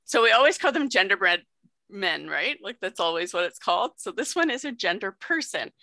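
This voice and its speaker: Gravelly, bright-voiced young woman explaining. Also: mid-range voice, sing-song, a little fast, dry recording. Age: young adult. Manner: explanatory, conversational, checks in often. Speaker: guest sex educator. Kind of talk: educational presentation on video call. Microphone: video call.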